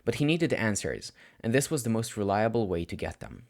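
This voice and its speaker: A man reading fan fiction aloud as an amateur audiobook narrator, in an expressive scripted style. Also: on the low side, fairly quick, gravelly.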